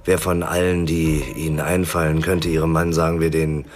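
An actor playing a blunt, hard-drinking, irritable private detective in a German radio drama, talking in a gruff, sardonic, colloquial way. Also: male voice, rumbling low, level tone, normal speed. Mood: probing, matter-of-fact. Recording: music behind the voice.

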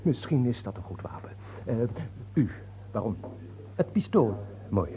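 An actor playing an obsequious, scheming viscount at a royal court in a theatrical radio play. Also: male voice, lowish voice, big pitch swings, measured pace, background noise. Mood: scheming, conspiratorial. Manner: servile and hesitant, conspiratorial among accomplices. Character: obsequious, scheming courtier viscount plotting against his king. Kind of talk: theatrical radio drama acting. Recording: dull old radio recording.

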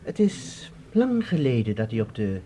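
An actor playing a sinister, courteous stranger in a radio drama, formal and sardonic in manner. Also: male voice, mid-range voice, very animated, moderate pace, mellow, close to an everyday voice. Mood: mocking. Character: sinister mocking stranger, male guitar owner, courteous but menacing.

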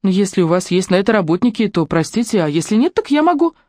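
A narrator voicing a shy but bold young woman who speaks Russian with a Ukrainian accent, in a lively, folksy manner. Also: mid-range voice, fast talker, no room sound, clean studio sound, pitched above the performer's own voice, natural voice. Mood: earnest.

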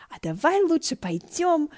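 Женщина озвучивает восторженного болтливого молодого бессмертного заклинателя в аудиокниге, оживлённо и театрально. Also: female voice, sits high, unhurried, no room sound, studio quality, voice pushed up. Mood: eager. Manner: eager, dramatic, animated. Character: exuberant, chattering young man, an immortal cultivator. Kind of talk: fan fiction audiobook dialogue.

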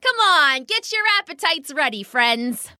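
Bullying female pheasant for a storybook, brash, taunting, high and animated woman acts it. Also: a little slow, dry recording, studio quality, full character voice. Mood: eager, scheming. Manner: pushy, taunting. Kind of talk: children's storybook character voice.

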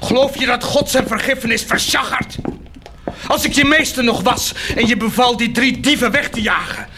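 An actor playing an impatient, fervent nobleman in a radio drama, commanding and insistent, shifting abruptly between soft and forceful. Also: very high voice, moderate pace, faint music behind. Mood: angry, commanding.

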